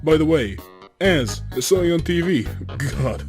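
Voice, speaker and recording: An actor as a laid-back, deadpan joker skeleton. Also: medium pitch, a little slow, soft background music, natural voice. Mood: teasing. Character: laid-back, teasing, joking male skeleton. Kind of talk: amateur comic dub voice acting.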